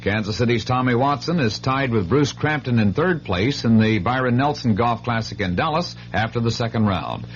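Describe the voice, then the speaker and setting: An American man reads a scripted radio bulletin of news and sports. His medium-pitched voice is muffled, with faint noise behind it. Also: lively intonation, normal speed, hardly any pauses. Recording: dull, faintly noisy radio broadcast.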